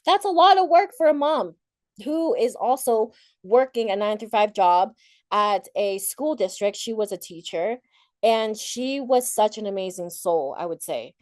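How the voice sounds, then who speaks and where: A woman's voice: medium pitch, lively intonation, measured pace, a little mellow, no echo. Candid woman speaker, native Thai, close dry sound. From Thailand, later moved to the United States. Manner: conversational, candid, reflective, repeats and rephrases. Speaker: conference speaker sharing personal story. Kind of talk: informal motivational personal talk.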